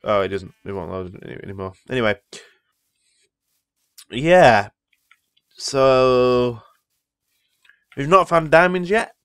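A man's voice: medium pitch, animated, takes it slowly, long pauses, a bit bright, clean tone, no echo, studio quality. A man who is ill, rambling casually through gameplay commentary and easily impressed by what he sees. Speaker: Minecraft let's player.

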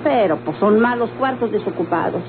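A woman's voice: medium pitch, expressive, moderate pace, close to an everyday voice. An actress playing a humble, hard-working Mexican motel keeper and mother in an old radio drama, plain-spoken, hesitant and resigned. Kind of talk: old radio-novela acting. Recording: noisy, humming, tinny old radio recording.

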